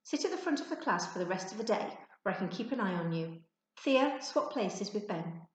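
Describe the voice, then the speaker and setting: A woman's voice: mid-range voice, lively intonation, normal speed, heavy reverb, natural voice. A woman voicing an irate male schoolteacher in a children's story, barking orders and drawling with stern sarcasm.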